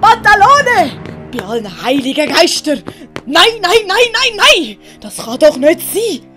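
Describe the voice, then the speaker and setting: Commedia servant clown, played by a woman: naive, exclamatory man, high-pitched, swooping delivery. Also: sing-song, measured pace, pushed and bright, soft background music, heavily acted. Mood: alarmed. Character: excitable, simple-hearted male servant clown, food-loving. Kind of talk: commedia dell'arte audio play acting.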